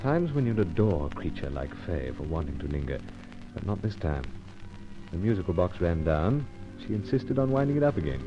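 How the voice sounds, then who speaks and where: An actor playing a suave British secret agent in a radio serial, wry, polite and a little hesitant, with a British accent. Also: male voice, low-pitched, sing-song, a little fast, over music. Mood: dry narrating. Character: suave, dryly understated male British secret agent hero. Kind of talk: old-time radio serial acting and narration. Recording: dull lo-fi old radio recording.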